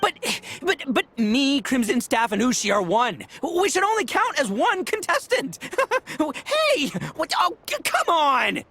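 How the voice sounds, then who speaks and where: A man's voice: very high-pitched, very animated, a little slow, bright, forceful tone, a little hiss or hum, full character voice. A man playing a brash, boisterous young gang boss fighter in a video game, with a cocky, slangy, showboating manner. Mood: indignant. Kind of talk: animated video game voice acting.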